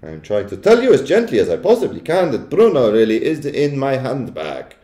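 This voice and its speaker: A man's voice: medium pitch, huge pitch swings, normal speed, warm tone, voice pushed up. An old storybook grandmother, played by a man, kindly yet bristling. She speaks politely and insistently in a raised voice, with noticeable room echo. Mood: firm, insistent. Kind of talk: children's storybook character voice. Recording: echoey room.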